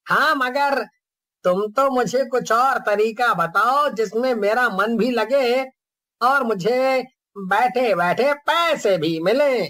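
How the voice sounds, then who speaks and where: A man's voice: very high voice, slow pace, bright, forceful tone, no echo, studio quality, full character voice. A man voicing a lazy, boastful young village man in a cartoon story, gleeful as he dreams of getting famous. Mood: eager. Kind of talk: cartoon voice acting.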